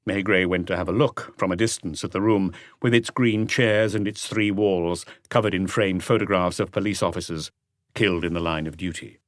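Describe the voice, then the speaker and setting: Low-voiced man narrating, close and dry. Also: fairly even intonation, moderate pace, no echo. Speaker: audiobook narrator. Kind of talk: scripted literary narration.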